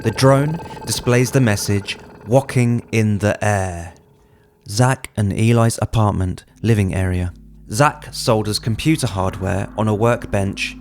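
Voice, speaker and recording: A man's voice: fairly low pitch, measured pace, darkish tone, slight background noise. A man reading screenplay stage directions as a scripted drama narration. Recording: music under the voice.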